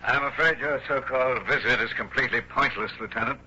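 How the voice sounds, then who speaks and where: Haughty, sharp-voiced gentleman villain. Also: mid-range voice, fairly quick. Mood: smug, haughty. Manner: pompous, formal, sardonic. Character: haughty, smug, educated male villain. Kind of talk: 1960s radio drama acting. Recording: muffled, noisy old radio recording.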